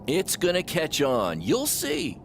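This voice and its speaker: Excitable wrestling promoter, video game cutscene, slightly high voice, very animated, salesman patter, scheming. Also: slow, vocal fry, background noise, heavily acted. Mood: enthusiastic. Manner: upbeat salesman patter, dramatic flourishes.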